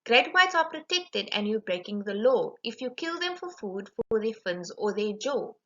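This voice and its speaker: A woman's voice: fairly high pitch, sing-song, normal speed, bright, forceful tone, lo-fi sound, heavily acted. A woman voicing startled, decent fishermen in a storybook, earnest and exclaiming. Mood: alarmed, earnest.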